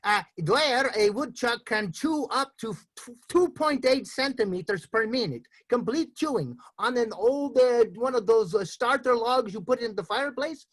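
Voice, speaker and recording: A man's voice: moderate pace, exaggerated voice. A man doing a put-on as a boastful tourist, improvising over a video call. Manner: booming, know-it-all, deadpan tall tales. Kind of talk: improv comedy.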